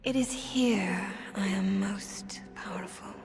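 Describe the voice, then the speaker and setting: A woman voicing a calm, haughty, menacing female demon in a fantasy video game, breathy and half-whispered. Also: mid-range voice, slow, a little hiss or hum. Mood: silky, haughty.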